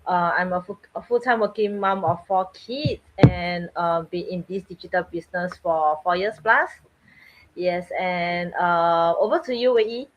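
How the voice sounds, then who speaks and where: A woman from Singapore giving a brisk, friendly self-introduction. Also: fairly low pitch, lo-fi sound, slight background noise. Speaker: guest presenter.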